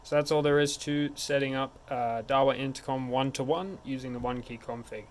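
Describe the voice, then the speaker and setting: A man's voice: mid-range voice, steady tone, a little fast. A man narrating a hands-on technical tutorial in an informal, step-by-step way. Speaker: tech support instructor. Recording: clear background noise.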